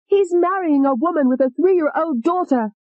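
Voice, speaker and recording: A woman's voice: very high-pitched, normal speed, dry recording, narrow, tinny audio, pitched above the performer's own voice. A woman voicing a furious, vicious young woman alter who screams and taunts. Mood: taunting.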